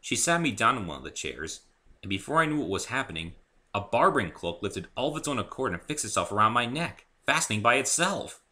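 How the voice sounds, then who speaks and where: Bright-voiced man reading a story. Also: medium pitch. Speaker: author reading own story. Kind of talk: expressive scripted narration, amateur dramatic story reading.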